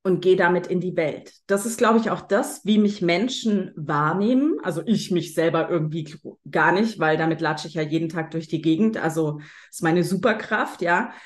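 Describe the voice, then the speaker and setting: Relaxed, reflective woman with a lowish voice. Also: moderate pace. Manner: relaxed and reflective, quoting her own thoughts. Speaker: participant. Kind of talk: casual group sharing.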